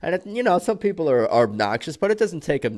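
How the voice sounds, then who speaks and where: A man's voice: fairly high pitch, big pitch swings, quick pace, darkish tone. A man hosting a podcast, chatting casually and rambling with many fillers. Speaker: podcast host.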